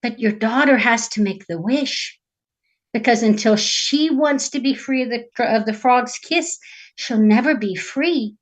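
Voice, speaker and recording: A woman voicing a kind, regretful fairy godmother in a fairy tale, gentle but firm. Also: fairly high pitch, a little slow. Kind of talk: live storytelling of a fairy tale.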